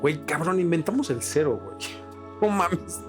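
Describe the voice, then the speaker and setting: A man's voice: a little high, expressive, normal speed. Slangy Mexican man chatting over music. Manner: informal, slangy and opinionated. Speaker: podcast co-host. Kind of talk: casual podcast discussion.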